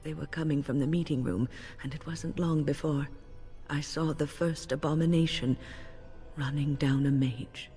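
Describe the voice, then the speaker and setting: A woman playing an older mage in a fantasy video game, grave, weary and composed, telling things in a measured way. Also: deep voice, fairly even intonation, mellow, in a large hall, faint music behind, close to an everyday voice.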